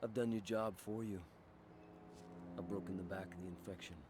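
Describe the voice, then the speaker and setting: Man acting low-voiced brooding antihero. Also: fairly even intonation, moderate pace, background noise, lightly acted. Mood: defiant. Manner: curt, sardonic, menacing. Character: brooding, defiant male antihero, bitter and distrustful. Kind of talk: video game cutscene acting. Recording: loud game music behind voice. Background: music.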